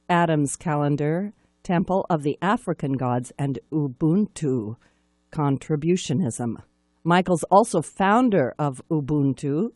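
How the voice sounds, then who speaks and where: A woman's voice: low-pitched, a little mellow, no room sound, studio quality. A woman hosting a live radio talk show in a chatty, enthusiastic way.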